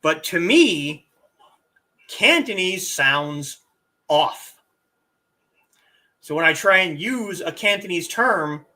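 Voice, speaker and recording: Middle-aged martial arts teacher on a stream, super lively pitch, bright voice, chatting with viewers. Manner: animated, drawn-out demonstrations of word sounds. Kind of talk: casual live-stream chat answering viewer comments.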